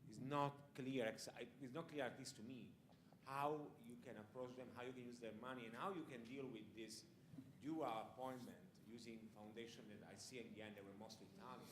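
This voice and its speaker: A man's voice: medium pitch, steady tone, brisk, hardly any pauses. A man in the audience asking a long, searching question. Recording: echoey hall with background noise.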